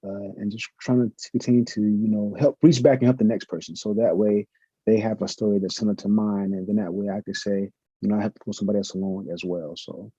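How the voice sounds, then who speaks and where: A man's voice: fairly low pitch, fairly even intonation, quick pace, mellow, slightly rough, no room sound. A middle-aged man, a professor, answering interview questions in an earnest, reflective way with many fillers. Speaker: professor and podcast guest. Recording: muffled lo-fi audio.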